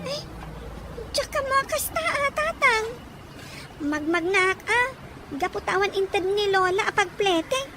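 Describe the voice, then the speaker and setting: A woman's voice: very high voice, animated, a little slow, pauses often, noisy background. A woman voicing a meek, plaintive little schoolgirl in an Ilocano radio drama. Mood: sweet, plaintive.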